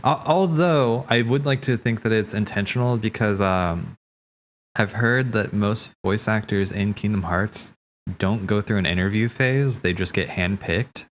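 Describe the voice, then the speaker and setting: A man's voice: lowish voice, unhurried, slight background noise. A man hosting a livestream, talking in a casual, slangy, rambling way as he thinks aloud. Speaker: livestream host. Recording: background music.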